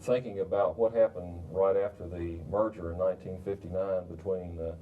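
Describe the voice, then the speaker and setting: An older man moderating a roundtable in a formal, measured, somewhat halting way. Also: fairly even intonation, phone-quality sound, slight background noise. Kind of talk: formal, informally unscripted introduction. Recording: rumbly 1990s video recording.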